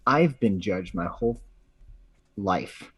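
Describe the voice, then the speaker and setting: A man with a husky voice chats casually as a co-host, slangy and profane, repeating phrases for emphasis. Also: low voice, expressive, slow. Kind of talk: casual opinionated chat.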